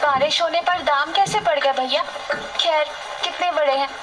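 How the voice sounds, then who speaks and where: A woman's voice: sits high, normal speed, music in the background, voice pushed up, lightly acted, poor audio. A woman voicing a cold, hungry female traveler in a comic moral story, plaintive and exclaiming. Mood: shocked, indignant.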